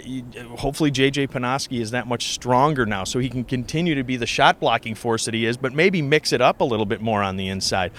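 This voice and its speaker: A man who works as a radio sports announcer, giving emphatic conversational analysis and repeating phrases for stress. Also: mid-range voice.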